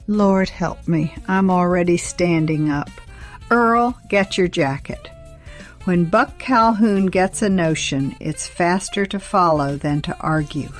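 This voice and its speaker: Woman acting as folksy older wife, wry, lowish voice, slow pace, audio drama, music underneath. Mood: wryly resigned. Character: wry, game retired wife.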